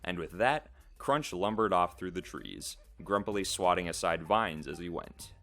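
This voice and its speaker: A man's voice: deep voice, expressive, normal speed, fairly bright tone, soft background music. A man narrating a story in a dramatic storybook style.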